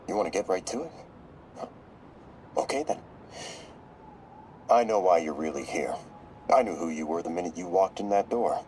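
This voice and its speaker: An actor playing a shrewd, corruptible therapist in a video game, measured, probing and knowing, with slight noise behind him. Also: male voice, deep voice, animated, darkish tone. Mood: shrewd, assertive. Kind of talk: video game voice acting, dramatic dialogue.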